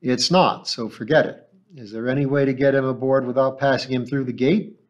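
A man's voice: steady tone, a little fast, lo-fi sound, lightly acted. A male audiobook narrator voicing a shrewd, anxious old woman shopkeeper who complains and dodges questions. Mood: alarmed. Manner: shrill, complaining, evasive.

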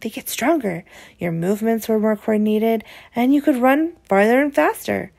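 A woman's voice: a little high, vocal fry, darkish tone. A woman reading a children's picture book aloud, explaining it expressively to young listeners. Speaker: read-aloud presenter.

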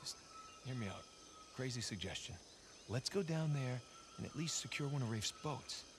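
A man's voice: mid-range voice, lively intonation, moderate pace, noisy background, lightly acted. A man playing a rugged younger-brother adventurer in a video game, exasperated and wry, talking in a natural, incredulous way. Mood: doubtful, wry.

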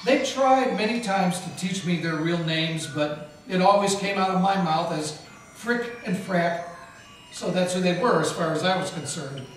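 A man's voice: high voice, a little slow, a little hiss or hum. An actor playing a wisecracking, hard-boiled male private eye who narrates a live 1940s-style radio play. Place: historic mansion hall, indoors, live audience. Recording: echoey room from far off with music.

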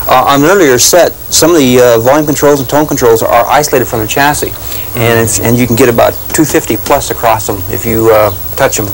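A middle-aged man casually explaining restoration work as a radio restorer, with halting phrasing. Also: mid-range voice, a little hiss or hum, low-quality recording. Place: indoor workshop full of old radios.

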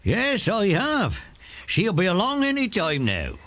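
A man voicing a kindly rustic shepherd with a folksy, unhurried manner in a children's audio drama. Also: high-pitched, huge pitch swings, a bit bright, voice pushed up, exaggerated voice. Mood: pleased.

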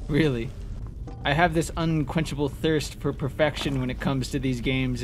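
A man giving casual let's play commentary, playful and taunting his enemies as he exclaims. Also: medium pitch. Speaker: gamer playing and commentating. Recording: clearly noisy background with game ambience.

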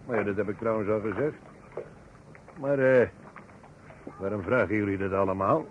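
A man's voice: lowish voice, normal speed, close to an everyday voice. A man playing a talkative, slightly wary local witness in a Dutch radio drama. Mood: chatty, wary. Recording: muffled, noisy old radio recording.

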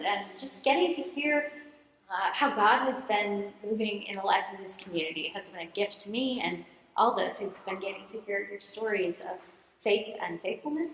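A middle-aged woman priest interviewing parishioners in a church. She talks gently, with hesitant rephrasing. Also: mid-range voice, slight background noise. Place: large church sanctuary. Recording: very echoey church hall.

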